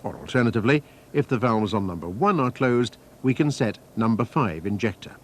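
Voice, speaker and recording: A man reading a scripted technical training film narration in a measured, precise, instructional manner. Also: medium pitch, lively intonation. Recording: noisy archive training-film soundtrack.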